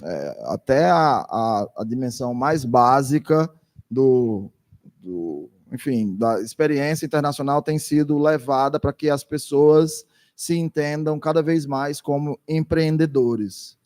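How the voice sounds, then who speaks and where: A man's voice: medium pitch, measured pace, darkish tone. A man doing consecutive interpretation for a lecture, speaking hesitantly with frequent restarts.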